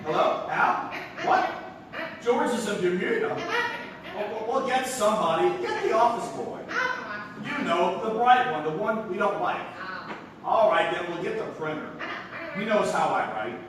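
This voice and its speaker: A high-voiced, flustered young man played by a male stage actor, exasperated and stammering. He speaks emphatically in frantic comedy style, heard from far off in a very echoey hall. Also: lively intonation, normal speed, pauses often. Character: flustered, exasperated young theater critic nephew. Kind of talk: theatrical stage comedy acting. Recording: echoey, noisy live theater from far off.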